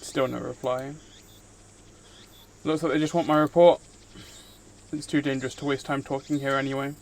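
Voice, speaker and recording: A man's voice: medium pitch, level tone, moderate pace, a little hiss or hum. A man live streaming, reading visual novel narration aloud and chatting casually with viewers in between. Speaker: streamer, VTuber host.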